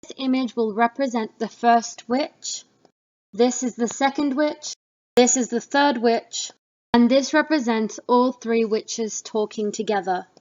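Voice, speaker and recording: A woman teacher narrating a lesson summary in a measured, explanatory way. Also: a little high, darkish tone, lo-fi sound.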